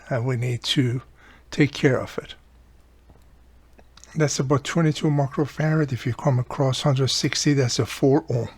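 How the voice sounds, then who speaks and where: Gravelly, husky man giving a repair tutorial. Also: mid-range voice. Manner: explains step by step, repeats key points. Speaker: radio repair video host. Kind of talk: casual hands-on repair tutorial.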